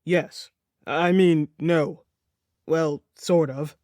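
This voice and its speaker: A woman's voice: deep voice, steady tone, very slow, darkish tone, dry recording, clean studio sound. A woman voicing a huge, blunt young male warrior prince who speaks tersely and awkwardly. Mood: flustered. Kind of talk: audiobook character voice.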